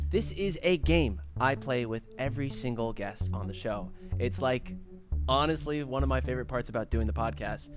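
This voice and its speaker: A man's voice: medium pitch, fairly bright tone. A man hosting a podcast, casually explaining the rules of a word game. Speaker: podcast host.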